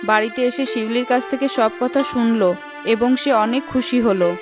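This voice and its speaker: Higher-pitched woman narrating a children's story. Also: moderate pace, over music.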